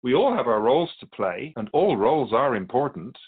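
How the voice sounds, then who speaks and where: An actor playing a calm, wise, otherworldly keeper of souls who teaches in a measured, explanatory way as a guest in a radio drama. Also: male voice, mid-range voice, slightly rough, phone-quality sound. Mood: calm, instructive.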